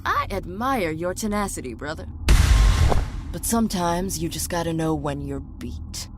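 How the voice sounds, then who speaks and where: An actress playing a cool, sardonic armed villainess in a science fiction audio drama, dry, mocking and menacing. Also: female voice, lowish voice, animated, a little slow, over music. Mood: smug, threatening.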